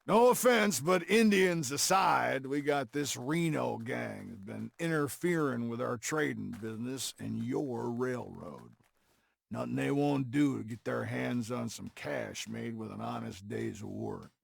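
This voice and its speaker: An actor playing a roguish, cynical army quartermaster in a game cutscene, folksy and brash, with an American frontier drawl and a gravelly rasp. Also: male voice, mid-range voice, unhurried, no echo. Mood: sly, chummy. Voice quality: gravelly, raspy. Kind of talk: video game cutscene acting.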